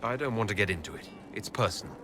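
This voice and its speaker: A man's voice: fairly low pitch, fast, background noise, natural voice. A man playing an earnest, wounded elf hero in a fantasy video game, direct and firm. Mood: irritated. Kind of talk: dramatic video game acting.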